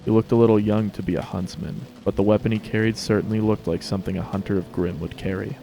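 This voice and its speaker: Deep gravelly male story narrator. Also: steady tone, fast talker, music in the background, poor audio. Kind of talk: dramatic fan fiction story reading.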